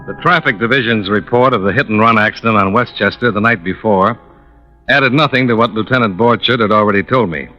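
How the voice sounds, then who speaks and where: A man's voice: on the low side, a little fast, bright, forceful tone, soft background music. An actor playing a cool, businesslike American insurance investigator in a 1950s radio detective drama, clipped and dry in his narration. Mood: dry, matter-of-fact. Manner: clipped, dry, matter-of-fact. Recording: muffled old-time radio recording.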